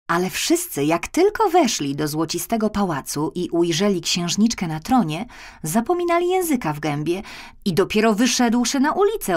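Low, creaky-voiced woman storyteller. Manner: expressive storytelling. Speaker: audiobook narrator. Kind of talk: scripted children's story narration.

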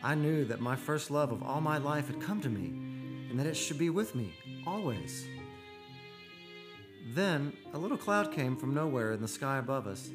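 A man's voice: medium pitch, lively intonation, moderate pace, music in the background, close to an everyday voice. An actor playing a lovestruck, haunted man, narrating a ghostly romance in an earnest, poetic old-time radio style. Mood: wistful. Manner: earnest, poetic storytelling delivery.

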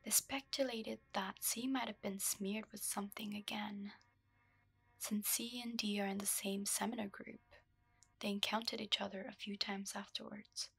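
A young woman's low voice, kept almost wholly to a soft, breathy whisper as she reads a story for ASMR listeners. The tone is very husky with some gravel at the bottom. Her pitch barely moves, and the sound is close and dry. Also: steady tone, moderate pace, no echo, soft background music. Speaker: ASMR host. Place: dark room lit by a candle.

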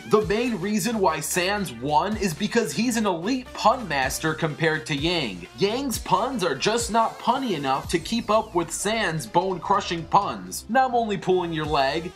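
An online show host delivers scripted commentary in a voice of middling pitch. The host speaks straight to the viewers, with music playing behind the words. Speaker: host of an animated versus show. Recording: music under the voice. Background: background music.

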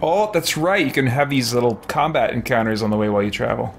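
A man's voice: mid-range voice, fast. A middle-aged man giving casual gameplay commentary, musing and thinking aloud as he streams. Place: small room with shelves. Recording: faint background noise.

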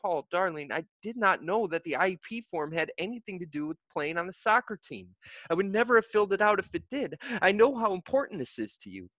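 A man's voice: high-pitched, sing-song, brisk, a bit bright, no room sound, muffled recording, pitched above the performer's own voice. A man voicing an anxious, doting middle-aged mother who fusses and pleads. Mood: pleading. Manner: fussy, pleading, calls him darling. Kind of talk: audiobook character voice.

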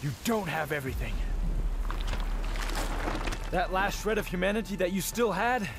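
A man's voice: high voice, expressive, moderate pace, gravelly, noisy background. A man playing a cocky, world-weary middle-aged demon hunter in a video game cutscene, with a wry tough-guy delivery. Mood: taunting. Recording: music under the voice. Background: dramatic music.

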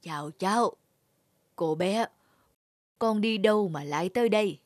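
An actor voicing a kindly old male mountain spirit in a children's cartoon, benevolent and unhurried. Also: high voice, no room sound, studio quality.

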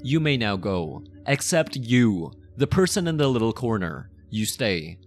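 A man's voice: mid-range voice, sing-song, faint music behind. A man playing a possessive, menacing yet playful mafia boss in an ASMR roleplay, with a teasing, theatrical delivery. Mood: commanding, menacing. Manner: slow teasing, sinister chuckles, theatrical.

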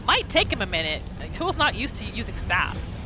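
A woman giving excitable playthrough commentary, exclaiming and talking to the game's characters as she roleplays. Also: mid-range voice, moderate pace, poor audio. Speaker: gaming video host. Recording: game music behind the voice. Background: video game music and sounds.

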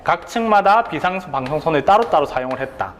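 A man's voice: on the high side, lively intonation, a little fast, big-room sound. A male instructor giving an exam prep lecture, posing rhetorical questions and checking that students follow along.

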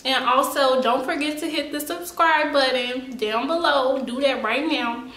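A woman's voice: sits high, unhurried, pushed and bright. Chatty young woman cooking at home. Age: young adult. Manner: chatty, slangy, direct to viewers. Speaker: cooking vlogger. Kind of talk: casual cooking tutorial. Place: small home kitchen, indoors.

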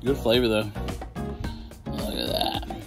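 A man's voice: on the low side, takes it slowly. Man, thirties, travel vlogger, casual rambling delivery, arm's-length camera mic, music underneath. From southern California. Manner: relaxed, rambling, lots of fillers. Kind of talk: casual vlog narration. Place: outdoors, hotel room and parked van. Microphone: handheld camera at arm's length.

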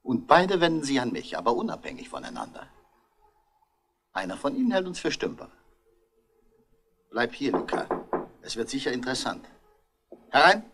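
An actor playing a shrewd, gruff male police commissioner with terse, probing speech, in 1960s German radio drama. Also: mid-range voice, huge pitch swings, moderate pace, pauses often, a bit bright, a little hiss or hum. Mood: gruff, dry.

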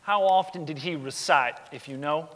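A man's voice: fairly high pitch, normal speed, a bit bright, lightly acted. A man playing a formal lawyer questioning witnesses in a staged period courtroom drama. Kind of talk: staged dramatic reading of a trial. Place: large theater stage, indoors. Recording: hall reverb from a theater stage.

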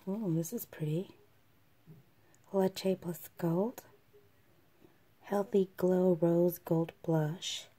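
A woman with a froggy, hoarse voice from a cold, chatting casually as she unboxes beauty products at her vanity table, often half-whispering and clearing her throat. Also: lowish voice, fairly even intonation, extremely slow, long pauses, dark tone, slight background noise. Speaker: beauty vlogger. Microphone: camera over the table, hands only in view.

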